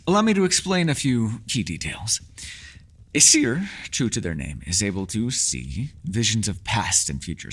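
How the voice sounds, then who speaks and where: A man playing a mysterious, ageless male elf in a fantasy ASMR roleplay, teasing yet secretive, with courteous, formal, old-fashioned phrasing. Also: fairly low pitch. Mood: explanatory, measured.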